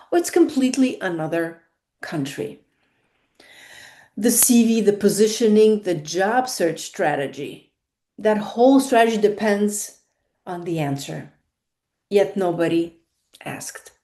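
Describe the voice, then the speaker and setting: A woman with a non-native English accent speaks slowly, stressing key words and repeating points for emphasis. Her voice is mellow and warm, set at a middle pitch. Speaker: career consultant. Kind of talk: spoken monologue on careers.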